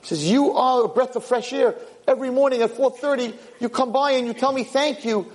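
A man voicing an excitable, emotional king in a told story, exclamatory and emphatic. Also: fairly high pitch, fast, creaky. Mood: grateful, emphatic.

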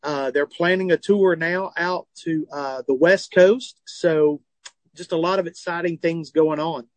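Southern comedian in his forties, lightly high voice, dry close sound, animated and earnest storytelling. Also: a little slow, dry recording. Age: 40s. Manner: animated, earnest, self-deprecating humor. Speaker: comedian and author, guest. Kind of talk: phone or remote interview, candid storytelling. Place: tour bus.

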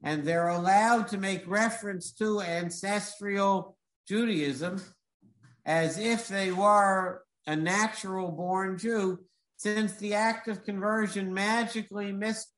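Man, high voice, rabbi lecturing, measured and emphatic, echoey room. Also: fairly bright tone. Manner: measured, explanatory, with emphatic repetition.

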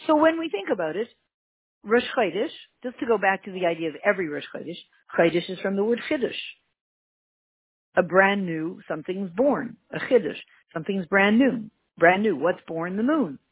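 A teacher with a Canadian accent giving an emphatic religious lesson, repeating key words and jumping up in pitch for emphasis. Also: expressive, normal speed, breathy edge, no echo. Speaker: Torah teacher. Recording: tinny phone-like line, dry.

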